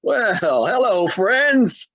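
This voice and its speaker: A man's voice: high-pitched, sing-song, extremely slow, fairly bright tone, no echo, heavily acted. A man acting a genial family friend with salesman-like patter in an old-time radio comedy. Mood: friendly.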